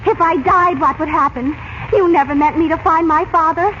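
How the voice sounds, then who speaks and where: Very high-pitched headstrong girl, noisy old radio. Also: moderate pace, a bit bright. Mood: hurt, angry. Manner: indignant, outspoken, dramatic. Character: wronged young American girl, headstrong and emotional. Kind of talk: 1940s radio acting. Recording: dull, noisy 1940s radio recording.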